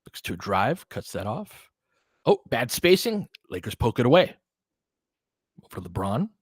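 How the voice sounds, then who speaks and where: A man with a mid-pitched voice calls a basketball game in a very dry, close recording. He speaks in short, clipped phrases as the play unfolds and mixes in informal asides and casual analysis. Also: very animated, normal speed, slightly rough, no echo. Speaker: play-by-play commentator.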